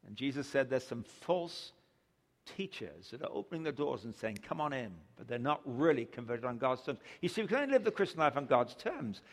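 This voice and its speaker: A man's voice: mid-range voice, very animated, a little fast. An older man from England, preaching a sermon with humorous storytelling. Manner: humorous storytelling, chuckles at own jokes. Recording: long hall reverb.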